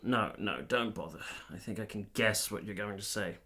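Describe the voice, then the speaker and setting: An actor playing a blunt but soft-hearted nineteenth-century gentleman in a radio drama, with formal phrasing. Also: fairly low pitch, moderate pace, creaky, exaggerated voice. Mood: resigned, measured. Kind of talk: period radio drama acting.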